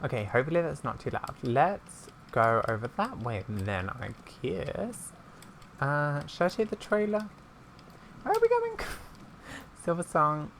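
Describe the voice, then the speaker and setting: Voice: mid-range voice, huge pitch swings, a little slow, a bit bright, slight background noise. A host giving casual gameplay commentary, with scattered, playful asides. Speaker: gaming video host.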